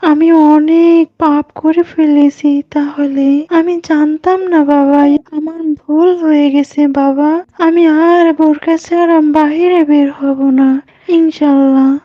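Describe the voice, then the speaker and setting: A woman's voice: sits high, fairly even intonation, slow, no room sound. A woman playing a respectful young student daughter in an Islamic moral cartoon, on a dry, slightly dull recording. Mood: remorseful.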